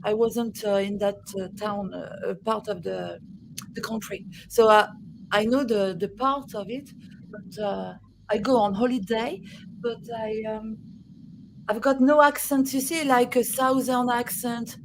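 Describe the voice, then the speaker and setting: French woman, fairly high voice, hesitant speaker chatting casually, faint background noise. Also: steady tone, measured pace, creaky. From France. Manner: hesitant, many fillers and restarts. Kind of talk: casual group chat.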